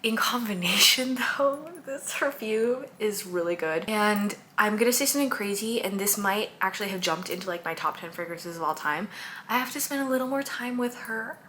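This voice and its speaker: A woman's voice: medium pitch, normal speed, pushed and bright, a little hiss or hum. A woman chatting casually about perfumes she bought, gushing and listing scent notes with lots of fillers. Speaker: perfume content creator. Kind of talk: casual haul review.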